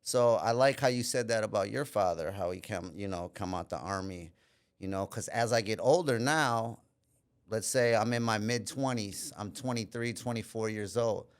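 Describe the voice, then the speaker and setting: Man, podcast guest, fairly low voice, candid, reflective, says "you know" often. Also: smooth. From Minneapolis, USA. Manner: pauses and restarts mid-thought. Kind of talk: casual life-story interview.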